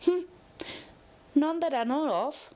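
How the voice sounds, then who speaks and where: A woman's voice: sits high, lively intonation, slow, dark tone, voice pushed up. Woman voicing a perky, naive pink pony. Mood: perky, chatty. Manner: chatty, naive, affectionate. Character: bouncy, cheerful, clumsy female pink pony inmate. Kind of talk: dramatic fan fiction reading.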